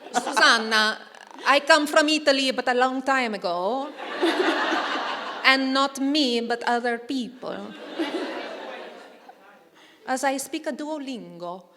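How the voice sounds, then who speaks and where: A woman chatting on a convention panel, telling lively stories and putting on playful voices. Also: high voice, takes it slowly, long pauses, very noisy. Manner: animated storyteller, playful voices. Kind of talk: casual panel chat. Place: large hall on stage. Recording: reverberant hall sound.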